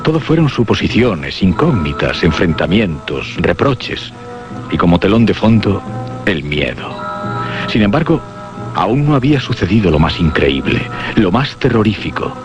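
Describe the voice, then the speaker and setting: A man playing the grave narrator of a suspense radio play, speaking in a solemn, deliberate, ominous way over music. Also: deep voice, big pitch swings. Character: grave male narrator of a horror tale. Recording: dull lo-fi radio drama with music behind. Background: clear background music.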